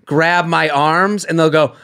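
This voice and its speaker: Male podcast host, higher register, loose rambling storyteller with frequent restarts. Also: fairly even intonation, a little slow, fairly bright tone, clear, resonant tone. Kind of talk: casual chat.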